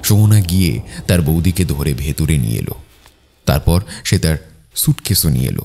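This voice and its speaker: A man's voice: a bass voice, animated, normal speed, vocal fry, dark tone, slightly rough. A man narrating a scripted children's cartoon story.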